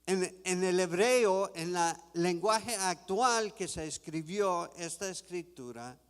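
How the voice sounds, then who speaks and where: Guy preaching in a big reverby church, kinda high voice, takes his time. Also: clean tone. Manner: slow, deliberate scripture reading. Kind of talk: sermon. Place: church sanctuary.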